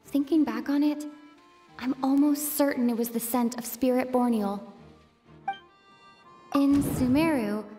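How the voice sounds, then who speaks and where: Analytical young female sleuth, played by a woman, composed, deliberate, fairly high voice, anime game with faint music. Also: dark tone, close to an everyday voice. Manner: thoughtful, deliberate, articulate.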